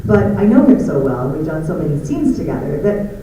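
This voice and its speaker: A woman's voice: low-pitched, very animated, fairly quick, warm tone. A woman giving an informal conference talk as an audiobook narrator, chatty, scattered and self-deprecating. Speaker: audiobook narrator and director at an audio theater company. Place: large conference room indoors. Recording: echoey room, distant dull mic, clear noise.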